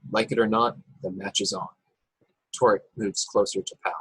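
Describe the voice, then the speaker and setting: A man's voice: lowish voice, steady tone, normal speed, fairly bright tone, breathy edge, dry recording, poor audio. A man narrating stage directions from a script at a table read over a video call. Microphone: video call, close and dry.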